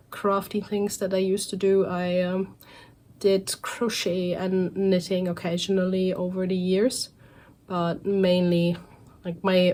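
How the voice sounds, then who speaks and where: A woman in her forties, recovering from a cold so her voice sounds a little off, chatting in a relaxed, rambling way with fillers and self-corrections as a cross-stitch vlogger. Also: mid-range voice. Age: 40s. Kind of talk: casual chatty vlog monologue.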